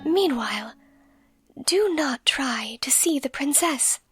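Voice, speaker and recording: A woman voicing a playful, teasing young princess in a breathy whisper, from a storybook fairy tale. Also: high-pitched, animated, takes it slowly, no echo, soft background music. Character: playful young princess posing as a flower maiden.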